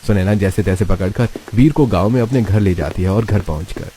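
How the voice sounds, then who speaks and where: A man's voice: fairly low pitch, a little fast, dark tone, husky. A man narrating a scripted moral story as its storyteller.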